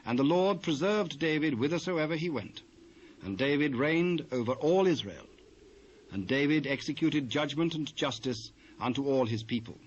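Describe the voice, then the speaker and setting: A man with a British accent narrating scripture in a formal, measured way. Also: fairly high pitch, expressive. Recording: dull, faintly noisy old cassette transfer.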